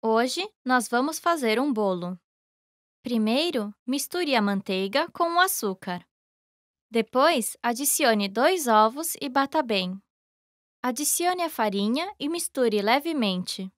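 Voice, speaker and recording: A woman reading scripted lesson dialogue in a careful, even way. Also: on the high side, slow, no echo, studio quality. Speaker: language lesson voice actor.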